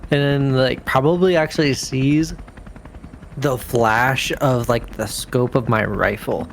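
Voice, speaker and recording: A man with a middling-pitched voice talks as a player in a tabletop game. He is casual and slangy, working out his descriptions aloud. Some background noise sits under his voice. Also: fairly even intonation, slow, vocal fry. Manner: colloquial, profane, thinking aloud. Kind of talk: casual improvised game talk.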